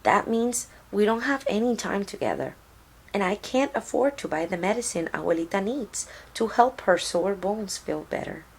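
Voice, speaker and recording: A woman voicing a tender, hardworking mother who speaks gently and earnestly to her child in a storybook. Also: medium pitch, lively intonation, moderate pace, breathy edge. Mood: earnest, weary. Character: tender, hardworking Mexican mother of a young boy.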